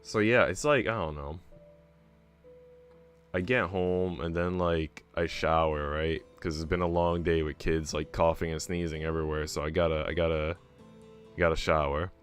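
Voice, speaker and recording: A man's voice: extremely low pitch, fairly even intonation, normal speed, clear, resonant tone. A man streaming, chatting in a slangy, rambling way between bits of a visual novel he is reading. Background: game music.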